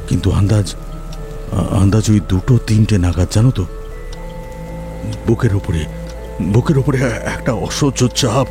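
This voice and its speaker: An actor playing a frightened elderly engineer in an audio horror story, halting and repeating his words as he confides. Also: fairly low pitch. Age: older. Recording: loud music and rain behind. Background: music, rain.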